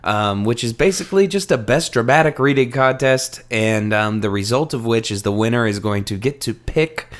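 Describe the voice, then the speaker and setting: Guy hosting a podcast, medium pitch, chatty n informal, kinda excited, swears a bit. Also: moderate pace, few pauses. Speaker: podcast host. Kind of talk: casual podcast chat.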